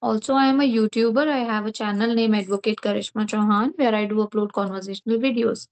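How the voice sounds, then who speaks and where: A woman's voice: mid-range voice, fairly even intonation, fast talker. A woman with an Indian English accent, hosting a casual online conversation, friendly and talkative. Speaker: host.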